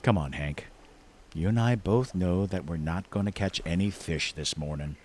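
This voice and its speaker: A man's voice: low-pitched, normal speed, darkish tone, slight background noise, lightly acted. A man playing a dry, good-natured older man who teases in a plain-spoken way, in a naturalistic drama. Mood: dry, teasing. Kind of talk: naturalistic short film drama acting.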